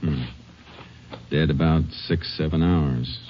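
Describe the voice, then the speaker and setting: An actor playing a calm, shrewd Texas Ranger investigator in an old-time radio drama, clipped and matter-of-fact. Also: male voice, a bass voice, slow pace. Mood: businesslike. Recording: muffled, very noisy old radio recording.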